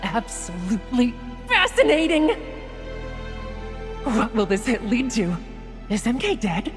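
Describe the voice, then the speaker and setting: Animated, high-voiced cynical woman. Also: measured pace, big-room sound, music in the background. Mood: scornful, intrigued. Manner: animated, dramatic. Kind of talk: video game cutscene acting.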